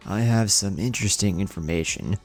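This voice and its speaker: A man's voice: lowish voice, fairly quick, darkish tone. A man voicing a sly, servile plant-like clone henchman in an anime story, reporting deferentially, with music behind.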